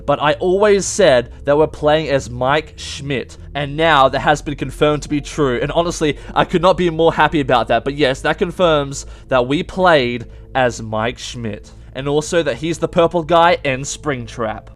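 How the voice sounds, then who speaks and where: A man with a slightly high voice presents game theories in an informal, explanatory way. He leans hard on key words for emphasis. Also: normal speed, few pauses. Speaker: video game theory host.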